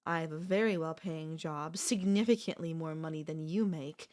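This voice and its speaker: A woman playing an obsessive, possessive sister-in-law in an intimate ASMR audio roleplay, coolly confessing her love with deliberate pauses. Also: low voice, darkish tone, lightly acted. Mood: smug, insistent. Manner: slow, deliberate, with long pauses.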